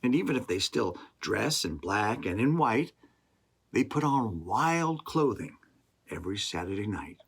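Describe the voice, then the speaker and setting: A man reading a rhyming children's story aloud in a deliberate, expressive way, with pauses. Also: on the low side, gravelly, slightly rough. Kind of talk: rhyming scripted story narration.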